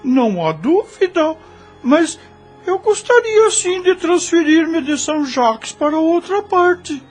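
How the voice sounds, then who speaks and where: Lady doing a hurt sensitive brother in a radio play, super high n slow, kinda plaintive. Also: heavily acted. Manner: plaintive, hesitant. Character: sensitive, mocked adopted brother with deformities. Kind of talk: audio drama acting.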